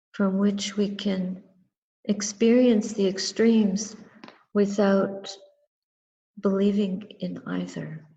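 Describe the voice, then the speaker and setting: A woman, a Buddhist meditation teacher, giving a guided reflection in a contemplative, measured manner, in short phrases with long pauses. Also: on the low side, vocal fry, warm tone. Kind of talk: guided meditation and dharma talk. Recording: muffled recording with long reverberant echo.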